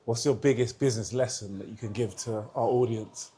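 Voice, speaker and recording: A man's voice: medium pitch, brisk, noisy background. A man hosting a podcast, doing street interviews with friendly, short prompting questions. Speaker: podcast host.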